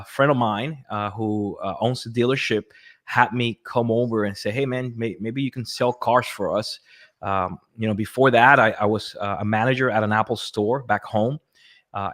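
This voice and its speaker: Conversational man with a mid-pitched voice. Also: a bit bright. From Puerto Rico. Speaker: podcast guest, real estate agent and pastor. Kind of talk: casual conversational storytelling.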